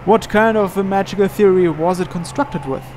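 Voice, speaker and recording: A man's voice: high voice, moderate pace, a little mellow, a little hiss or hum. A man reading visual novel narration aloud dramatically, as a let's player.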